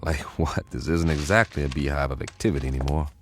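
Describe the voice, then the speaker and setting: An actor playing a weary, sardonic codebreaker, narrating and talking wryly in a radio drama. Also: male voice, very deep voice, fast talker, slight background noise. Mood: casual, weary. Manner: wry, world-weary. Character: weary, sardonic male codebreaker telling his story.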